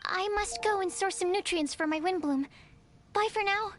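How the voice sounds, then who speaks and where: A woman voicing an excitable, studious young female alchemist in a video game, explaining things in an earnest, effusive way. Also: very high-pitched, normal speed, a little hiss or hum.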